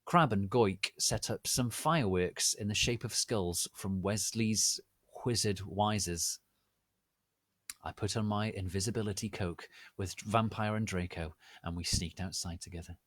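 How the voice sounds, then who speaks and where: A man giving a deliberate, theatrical dramatic reading of fan fiction as narrator. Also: on the low side, fairly bright tone, no echo. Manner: deliberate, theatrical, reads misspellings exactly as written.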